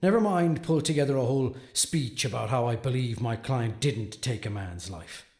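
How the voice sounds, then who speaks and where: An actor playing an earnest, troubled defense attorney in a dramatic monologue, speaking deliberately with brooding pauses. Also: male voice, mid-range voice, lively intonation, a little mellow, close to an everyday voice. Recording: echoey, roomy sound.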